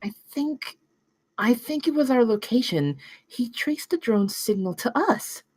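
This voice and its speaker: A woman's voice: fairly high pitch, expressive, a little slow, darkish tone, breathy edge. A woman playing a plainspoken, brilliant thirteen-year-old girl in a script table read. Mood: uneasy.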